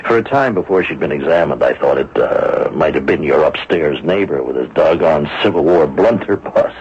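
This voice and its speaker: Grumbling inspector for radio mystery: deep, gravelly, skeptical, hesitant, on a dull lo-fi broadcast. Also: male voice, lively intonation, moderate pace, a little mellow, full character voice. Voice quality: gravelly, husky. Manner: hesitant, muttering, exclaiming. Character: grumbling, skeptical, easygoing middle-aged police inspector. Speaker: actor in a radio mystery drama. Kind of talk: radio drama acting.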